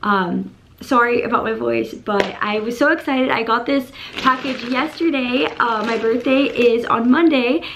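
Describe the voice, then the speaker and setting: A woman in her thirties with a hoarse voice, chatting casually to camera in her bedroom as she unboxes and shows off dresses, gushing and full of fillers. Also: a little high, a little slow, a bit bright, slight background noise. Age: 30s. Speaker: beauty and fashion YouTuber. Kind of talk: casual try-on haul chat. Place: bedroom indoors.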